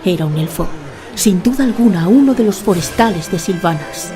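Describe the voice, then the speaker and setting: A woman's voice: fairly low pitch, lively intonation, moderate pace. Spanish woman narrating over prominent music. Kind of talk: scripted dramatic fantasy audiobook-style narration. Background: music under the narration.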